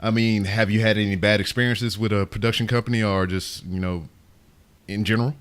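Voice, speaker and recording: A man with a fairly low but bright, forceful voice hosts a casual interview. He talks in loose slang and swears freely. His manner is joking and playful. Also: steady tone, brisk, smooth. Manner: joking, profane, laughs often. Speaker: podcast host interviewing a guest.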